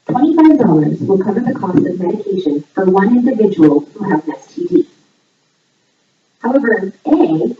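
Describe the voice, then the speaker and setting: A woman hosting a trivia game in a scripted voice-over, reading questions and answers in a measured, item-by-item way. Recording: echoey, distant, muffled low-fidelity playback. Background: voice audio played back in the room while filming.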